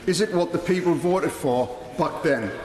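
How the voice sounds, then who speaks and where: A middle-aged man, a member of parliament, reading a prepared speech in a large debating chamber, earnest and measured. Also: fairly high pitch. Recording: echoey chamber with long reverb.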